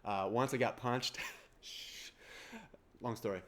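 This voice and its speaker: A man's voice: mid-range voice, slow. A man in his forties with a Kansas accent and a nasal voice, talking casually about exam prep in a rambling, self-deprecating way. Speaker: CPA exam prep course owner. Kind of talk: casual talk to camera.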